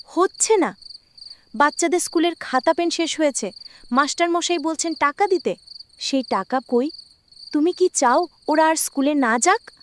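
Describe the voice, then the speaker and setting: High-pitched worried village wife played by a woman. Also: normal speed, long pauses, slight background noise, close to an everyday voice. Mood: angry reproach. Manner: pleading, complaining. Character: worried, scolding poor village wife and mother. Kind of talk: dramatic cartoon voice acting.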